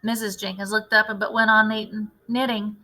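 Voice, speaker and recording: A woman's voice: medium pitch, flat delivery, a little fast, pushed and bright, low-quality recording. A woman narrating a children's novel aloud as a teacher would for a class.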